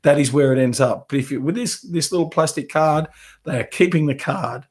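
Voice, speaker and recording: A man's voice: brisk, a little mellow. Man with a head cold teaching. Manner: coughs and clears throat often; acts out sales pitches in quoted dialogue. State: head cold, losing his voice. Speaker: business coach. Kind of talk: live webinar teaching.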